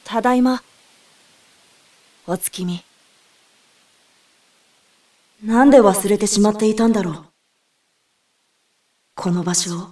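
A woman's voice: medium pitch, a little slow, pauses often, a little mellow, natural voice. A woman playing an earnest young girl from a spirit world in an audio drama. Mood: wistful, hushed. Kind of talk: Japanese audio drama acting and narration. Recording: roomy, distant, music behind.

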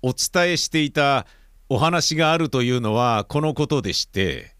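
A man's voice: mid-range voice, lively intonation, moderate pace, fairly bright tone, clean tone, no echo, studio quality, close to an everyday voice. An actor playing a courteous middle-aged father who speaks formally in a radio drama. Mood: earnest. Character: polite middle-aged father of a deaf daughter.

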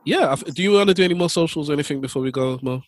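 A man's voice: on the high side, racing, dry recording, clean studio sound. A man co-hosting a podcast in casual chat, loose and joking, often repeating himself. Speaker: podcast co-host.

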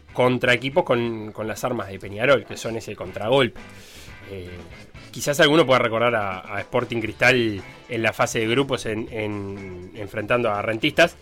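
A man's voice: medium pitch, a bit bright. A man chatting about football on a sports show, rambling with fillers and restarts. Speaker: sports radio panelist. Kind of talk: casual football talk.

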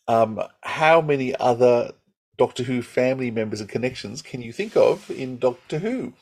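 A man with a husky, slightly rough voice of medium pitch co-hosts a casual podcast. He talks hesitantly, circling back over his phrases as he thinks aloud. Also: animated, moderate pace, a little mellow. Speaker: podcast co-host.

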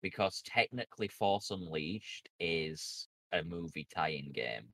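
Lively low-voiced man ranting. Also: slow, gravelly, no room sound. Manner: animated, swearing rants. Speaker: podcast co-host. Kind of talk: casual chat.

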